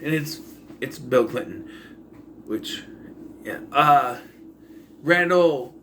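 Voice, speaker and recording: A man with a bright, forward voice at a middle pitch talks through a review in a loose, unscripted way. He rambles casually from point to point, over faint background noise. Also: expressive, extremely slow. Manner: loose, improvised, acting out quoted bits. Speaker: reviewer of an animated series. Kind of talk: casual rambling episode recap.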